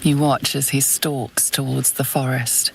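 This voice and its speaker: Very deep, creaky, ominous storyteller. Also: female voice, level tone, slight background noise, full character voice, poor audio. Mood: hushed, ominous. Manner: hushed, measured, ominous. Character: grave, mysterious female storyteller of a dark fantasy. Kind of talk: scripted game narration.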